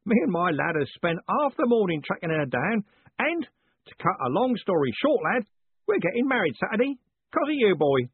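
Booming old merchant guy, hearty, voice jumps way up, a man putting him on, tinny dry audio. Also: big pitch swings, normal speed, husky, no echo, heavily acted. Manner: booming, hearty, uses "lad" and "young man". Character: loud blunt old male merchant, bellowing.

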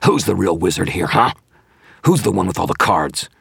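A man performing a harsh, snarling, villainous dark wizard. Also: deep voice, fairly even intonation, unhurried, vocal fry, slightly rough, dry recording, studio quality, full character voice. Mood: snarling contempt. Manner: taunting, shouting, contemptuous.

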